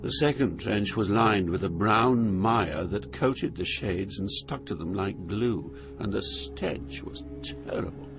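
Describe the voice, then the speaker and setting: Solemn pilgrim narrating over music. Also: male voice, fairly low pitch, animated, takes it slowly, vocal fry, hardly any pauses, exaggerated voice. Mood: solemn dread. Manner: solemn, descriptive, theatrical. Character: awed, fearful poet pilgrim recounting journey through hell. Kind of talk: dramatized literary narration.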